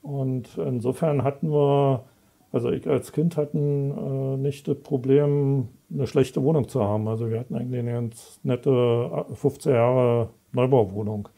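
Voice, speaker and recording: A man, a guest author talking in a relaxed, rambling way with many fillers, on a lapel mic in a studio. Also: medium pitch, unhurried, creaky. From Berlin, East Germany. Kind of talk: relaxed conversational interview. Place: studio set with brick wall.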